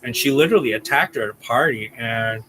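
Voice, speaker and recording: A man chats loosely on a livestream, hosting in a rambling way and often restarting his sentences. His voice sits at a mid pitch. A faint hum runs behind him. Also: quick pace, pushed and bright. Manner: rambling, restarts, reads out viewer names. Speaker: channel host. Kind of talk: casual livestream chat.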